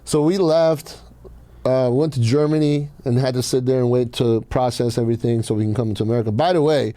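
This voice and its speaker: A man's voice: medium pitch, fairly even intonation, a little fast, mellow, no room sound. A man from Iran telling personal stories casually as a podcast host, blunt and swearing freely.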